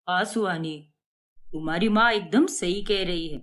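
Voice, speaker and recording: A woman voicing a middle-aged father in an animated storybook, agreeable and reassuring. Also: fairly low pitch, unhurried, voice pushed down. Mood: agreeing.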